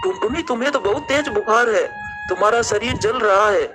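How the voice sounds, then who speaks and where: High-pitched earnest male cartoon bird, played by a man. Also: animated, a little fast, over music. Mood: concerned.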